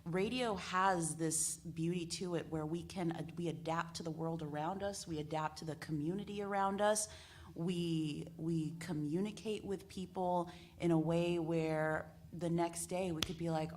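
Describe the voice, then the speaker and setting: A woman's voice: on the low side, darkish tone. An American woman radio broadcaster speaking on a panel, earnest and emphatic, repeating phrases for effect. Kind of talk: panel discussion talk, animated storytelling.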